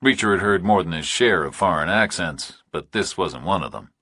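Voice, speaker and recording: A man's voice: deep voice, lively intonation, moderate pace, sharp tone, husky, no echo, clean studio sound. A man reading scripted thriller narration as an audiobook narrator.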